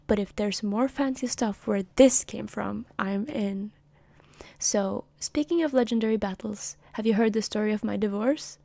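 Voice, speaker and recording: A woman's voice: medium pitch, moderate pace, vocal fry, dark tone, no echo, lightly acted. A woman voicing a boastful, wisecracking male sky god while reading a comic aloud on a stream. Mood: cocky. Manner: cocky, wisecracking.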